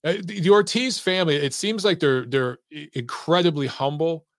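A man's voice: a little high, normal speed, no echo, studio quality. A man hosting a boxing call-in show, chatting casually and animatedly, swearing and laughing along with callers. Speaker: boxing talk show host. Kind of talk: casual live call-in chat.

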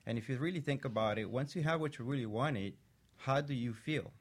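A man with a mid-pitched voice joins a motivational talk-show panel. He stresses his points slowly and deliberately. His tone is emphatic.

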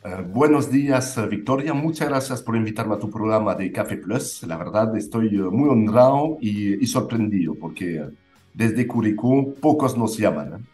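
Low, mellow man with a foreign accent. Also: lively intonation, normal speed, faint music behind. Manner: hesitant, many fillers and restarts. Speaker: winemaker guest. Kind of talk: interview answers.

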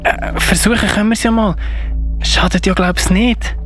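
A curious, cautious boy, acted by a male performer in a children's Christmas radio play. His voice is high and his manner hesitant but willing. Music sits behind it. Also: steady tone, moderate pace, a bit bright. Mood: unsure.

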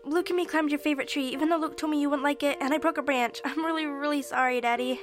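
A woman's voice: high-pitched, fairly even intonation, fast talker, music in the background, lightly acted. A girl playing a bossy eleven-year-old girl. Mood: apologetic. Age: young. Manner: bossy, dramatic, exasperated. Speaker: child actor and writer. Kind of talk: amateur family audio drama.

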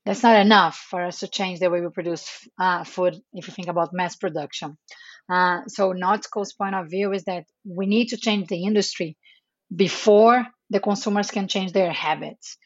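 A Brazilian woman in her forties speaking Portuguese as her first language, giving a measured podcast interview with frequent pauses. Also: fairly low pitch, steady tone, no echo, highs cut off. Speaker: chief marketing officer, guest.

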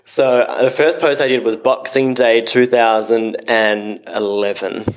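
A man's voice: mid-range voice, flat delivery, a little slow, rough recording. A man, a guest on a podcast, talking casually and easily with lots of "like". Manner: easygoing, says "like" a lot.

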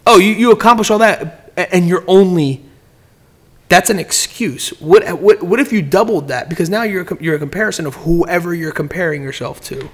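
A man's voice: sits high, brisk. A man in casual podcast chat, giving business advice in a rambling way, often repeating words and restarting sentences.

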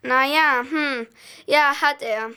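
Female amateur as high, bright, strict woman in charge. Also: slow pace, exaggerated voice. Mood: questioning. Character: strict, scolding mother and police chief. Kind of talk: amateur audio drama acting.

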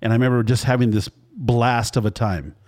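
A man's voice: medium pitch, fairly quick, darkish tone. A man in his fifties hosts a laid-back food podcast, chatting with his guest. He tells long, meandering stories, circling back and restarting as he goes. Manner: rambling storyteller, wandering anecdotes. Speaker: podcast host. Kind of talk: casual interview chat.

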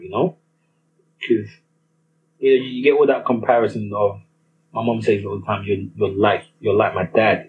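A young man with a Nigerian accent, telling a personal story in a hesitant monologue with many restarts. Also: fairly low pitch. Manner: hesitant, lots of restarts and fillers. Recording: slightly muffled with faint ambient noise.

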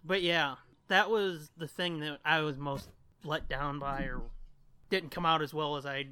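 A man's voice: fairly high pitch, fairly even intonation, measured pace, sharp tone, clean tone. A man co-hosting a podcast in casual, rambling and casually profane chat. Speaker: podcast co-host.

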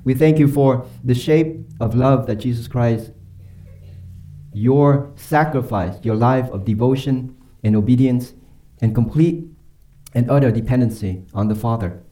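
A man preaching a sermon and leading a closing prayer, earnest and emphatic, slowing down and dropping softly on key phrases. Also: medium pitch, rough recording. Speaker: pastor.

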